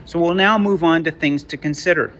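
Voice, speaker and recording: Bright-voiced French-Canadian man lecturing. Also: on the high side, highs cut off. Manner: halting, many restarts and repeated words. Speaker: teacher. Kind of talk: online lecture to parents. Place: Toronto.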